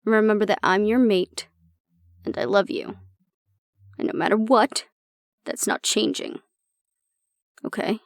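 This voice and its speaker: A woman playing an anxious young woman with a tough front and a soft core, confiding casually and with swearing in an intimate audio roleplay. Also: mid-range voice, unhurried, pauses often, no room sound, studio quality, close to an everyday voice. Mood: worried, affectionate.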